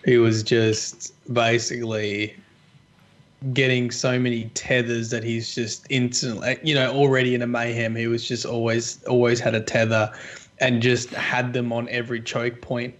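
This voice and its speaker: Man in casual gaming chat, medium pitch. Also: flat delivery, normal speed. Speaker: podcast panellist.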